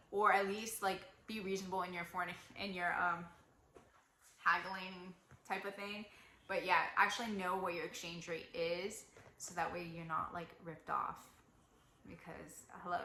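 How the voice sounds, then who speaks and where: A woman's voice: lowish voice, sharp tone, a little hiss or hum. A young woman giving casual travel advice to camera, chatty and full of fillers. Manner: chatty, lots of "like" and "you know". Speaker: travel vlogger. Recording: echoey room.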